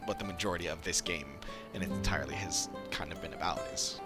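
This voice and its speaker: A man's voice: deep voice, fast, over loud music. A man streaming a game, giving casual, rambling commentary as he muses aloud. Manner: musing, rambling. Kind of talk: casual live gameplay commentary.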